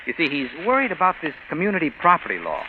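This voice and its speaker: A man's voice: fairly high pitch, huge pitch swings, fast, background noise. An actor playing a greedy, scheming American husband in a vintage noir radio drama, narrating and acting his part. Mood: evasive, falsely casual. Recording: muffled old radio broadcast with music.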